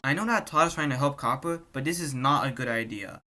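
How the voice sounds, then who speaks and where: A man's voice: mid-range voice, brisk. A man giving short, scripted nitpicking commentary as a video commentator. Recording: loud music over the voice. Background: loud music.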